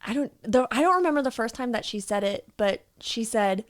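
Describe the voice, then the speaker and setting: Smooth-voiced woman host, bubbly chatty podcast talk, laughs a lot. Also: fairly high pitch, lively intonation, fairly quick. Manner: bubbly, laughs often, self-teasing. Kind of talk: casual podcast chat.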